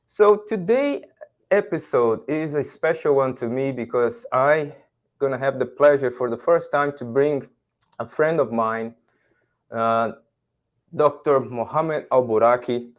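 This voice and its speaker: A man's voice: medium pitch, measured pace. A man hosting a livestreamed podcast interview, speaking conversationally to his audience and guest. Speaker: podcast host.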